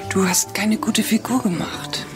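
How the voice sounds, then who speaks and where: An actress playing a shrewd, ambitious, critical wife in a radio play, pointed and insistent. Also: female voice, medium pitch, fairly even intonation, measured pace, in a whisper, natural voice. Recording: music behind the voice.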